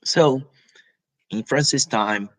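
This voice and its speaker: Man giving a halting spiritual talk. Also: medium pitch, poor audio. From Brazil. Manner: halting, many restarts and tag questions. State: coughing now and then.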